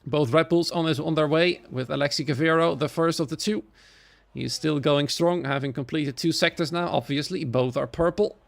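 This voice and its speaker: A man's voice: a little high, moderate pace, gravelly. A man from the Netherlands with a Dutch accent, a native Dutch speaker, giving live solo commentary on sim racing.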